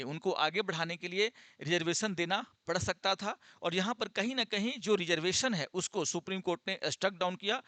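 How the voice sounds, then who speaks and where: A man's voice: high voice, pushed and bright, no echo. A man teaching exam students in an explanatory lecture, mixing in English terms and repeating key phrases didactically.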